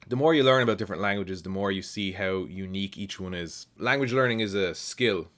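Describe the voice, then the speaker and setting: A man's voice: deep voice, a bit bright. A man with an Irish accent casually reading and answering viewer comments as a channel host, in a reflective, explanatory way. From Ireland.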